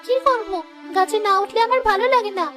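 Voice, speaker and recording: A woman puts on a stubborn, playful teenage girl for a cartoon, pleading and childlike. The voice is extremely high, with music behind it. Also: fairly even intonation, a little fast. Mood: whining. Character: playful, stubborn fifteen-year-old girl who loves climbing trees.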